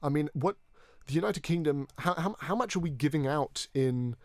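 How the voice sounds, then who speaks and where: A man from Hong Kong hosting a conversational political discussion, speaking earnestly in long, halting stretches with repeated words. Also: fairly high pitch, animated, darkish tone, dry recording. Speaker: podcast host.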